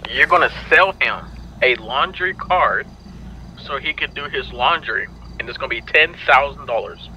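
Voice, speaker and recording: A man's voice: medium pitch, expressive, moderate pace, pushed and bright. A man chatting casually in an online roleplay game, plain-spoken and directing the others. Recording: dull lo-fi headset audio, faint noise.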